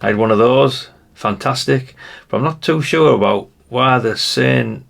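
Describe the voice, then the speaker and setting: A man's voice: mid-range voice, unhurried, creaky, a bit bright. A man, giving casual, nostalgic commentary in a rambling, chatty way, musing aloud to the viewer. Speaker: retro collector presenting a video.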